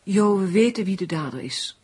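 A woman's voice: mid-range voice, lively intonation, normal speed, darkish tone, breathy edge. An actress playing an anxious, fretful woman under threat in a Dutch radio drama. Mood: tense, insistent. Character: anxious woman menaced by threatening anonymous letters. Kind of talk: Dutch radio play acting.

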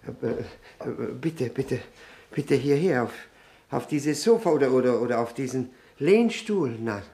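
Servile boozy widower dad in a radio play, slow n stammering, keeps repeating himself, all flustered. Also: male voice, medium pitch, lively intonation, dark tone. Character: nervous, servile drinking widower and doting father. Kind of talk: German radio drama acting.

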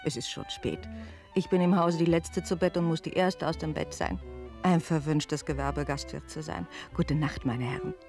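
Tired chatty inn landlady, radio drama. Swooping intonation, creaky low female voice, music behind. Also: huge pitch swings, moderate pace, heavily acted. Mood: weary, courteous. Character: talkative innkeeper landlady, tired, brisk, hospitable. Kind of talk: German radio play acting.

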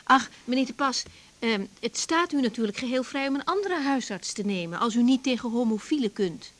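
A woman's voice: fairly high pitch, lively intonation, fairly quick, fairly bright tone. An actress playing a composed, direct young woman doctor in a naturalistic radio drama. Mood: brisk, professional. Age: young adult.

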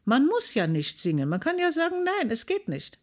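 Animated, emphatic woman in conversation. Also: sits high, moderate pace. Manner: emphatic, opinionated. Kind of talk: lively conversational interview.

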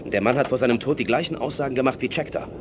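An actor playing a dutiful reptilian alien officer in a radio play, reporting matter-of-factly. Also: male voice, medium pitch, a little hiss or hum, natural voice. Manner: brisk, matter-of-fact reporting. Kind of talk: 1980s German radio play acting.

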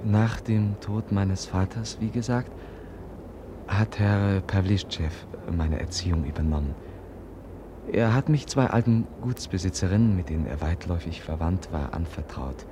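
An actor in a 1950s German radio play, playing a frail, candid young prince, polite and earnest, with hesitant pauses. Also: male voice, sits low, lightly acted. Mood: pensive, hesitant. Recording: noisy 1950s radio recording.

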